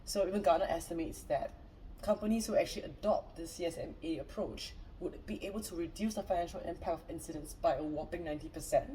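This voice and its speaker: A woman's voice: lowish voice, fast talker, mellow, far from the mic, a little hiss or hum, low-quality recording. A woman from Singapore as a guest in a casual interview chat, upbeat and friendly with frequent fillers.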